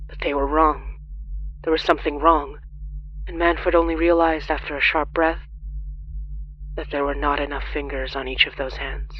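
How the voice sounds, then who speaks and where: A narrator reading scripted horror fiction in a dramatic, deliberate storytelling manner. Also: medium pitch, flat delivery, highs cut off, a little hiss or hum.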